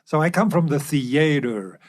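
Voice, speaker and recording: A man's voice: fairly high pitch, animated, unhurried. Conversational man hosting. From South Africa. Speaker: podcast host. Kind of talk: conversational podcast discussion.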